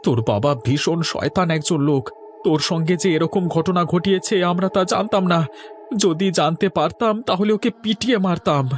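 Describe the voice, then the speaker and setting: A man playing a worried, indignant village man in an animated horror story, exclaiming anxiously. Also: high-pitched, moderate pace, soft background music, lightly acted. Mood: shocked, indignant.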